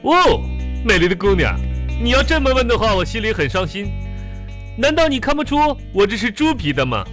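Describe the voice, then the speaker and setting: High, swooping, theatrical suitor. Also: male voice, sing-song, fairly bright tone. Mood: mock hurt. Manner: theatrical, drawn-out courtly gallantry. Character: smooth-talking suitor, a self-styled film producer, gallant and showy. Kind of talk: comic radio drama acting. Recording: music bed behind the voice.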